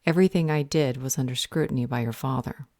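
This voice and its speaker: A stern but protective female vampire healer, acted by a woman for an audio roleplay. Her voice is low and dark, recorded close and dry, and she speaks with measured, earnest firmness. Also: no room sound. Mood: earnest, tender.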